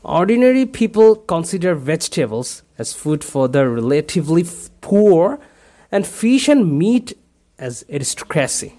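A man from Bangladesh, a native Bengali speaker, reads in English with a Bangladeshi accent. His voice sits a little higher than most men's and swings up and down a great deal. He reads carefully and deliberately. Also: very animated, mellow. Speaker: dialect archive speaker. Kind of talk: reading a set passage, then speaking about his culture.

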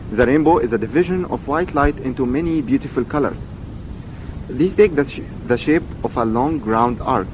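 A man in his twenties from Egypt with an Egyptian accent and Arabic as his first language, reading a set passage and then talking about himself with many fillers and repeated words. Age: 20s. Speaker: dialect archive speaker. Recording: dull recording with loud background rumble.

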